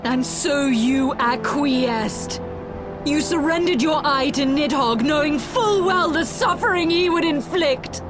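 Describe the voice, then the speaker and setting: Grave noblewoman, fairly high voice. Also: over music. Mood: grave, accusing. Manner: measured, formal, earnest. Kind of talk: dramatic fantasy game acting.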